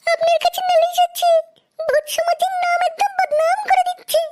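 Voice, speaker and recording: A grumbling ghost in a comedy cartoon. The voice is extremely high and squeaky. It complains with whining, insistent indignation, always telling tales. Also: steady tone, normal speed, heavy background noise. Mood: indignant. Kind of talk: Bengali comedy cartoon dubbing.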